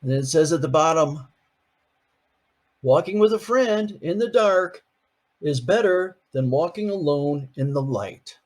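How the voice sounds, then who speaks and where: A man's voice: fairly high pitch, lively intonation, a little slow. An older man, a grandfather, reading a picture book aloud on video to his grandkids, playful and chatty, asking them questions as he goes.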